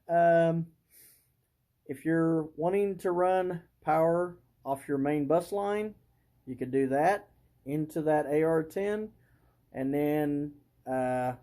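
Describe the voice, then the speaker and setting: A man casually presenting a hands-on hobby demonstration, talking haltingly and thinking aloud as he works. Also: on the high side, warm tone.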